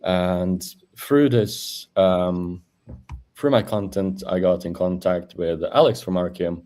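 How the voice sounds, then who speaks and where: A man, chatting as a guest over a video call in a halting way with many fillers. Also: low-pitched, steady tone, mellow. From Norway. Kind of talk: casual interview chat.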